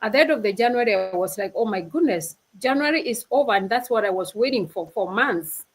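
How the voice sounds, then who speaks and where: A woman's voice: mid-range voice, expressive, a little fast, poor audio. A young woman from Kenya giving an earnest, casual motivational talk as a panel speaker on a video call. She often restarts her sentences and laughs easily.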